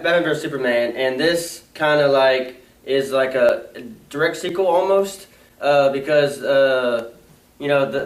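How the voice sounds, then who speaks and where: Halting young man in an echoey room. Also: fairly high pitch. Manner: halting, many fillers and restarts. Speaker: movie review co-host. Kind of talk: casual movie review chat. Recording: echoey room, mic far off.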